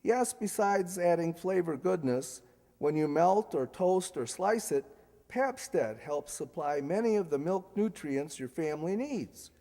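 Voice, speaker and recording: An actor playing a polished, enthusiastic 1940s radio announcer and pitchman in an emphatic style. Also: lively intonation, a little slow, gravelly, warm tone. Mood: upbeat selling. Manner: emphatic, salesmanlike. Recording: echoey hall stage recording.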